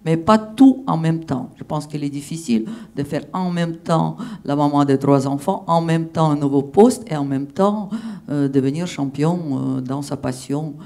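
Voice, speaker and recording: Gravelly woman panelist. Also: sits low, normal speed, warm tone. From Russia. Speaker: panel speaker. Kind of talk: panel discussion answer.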